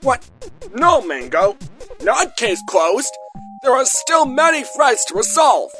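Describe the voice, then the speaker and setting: Woman acting serious, exasperated gator detective, lively pitch. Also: slow, full character voice. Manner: emphatic, insistent questioning. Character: serious, easily exasperated alligator spy detective. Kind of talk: fan dub cartoon acting. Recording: music behind the voice.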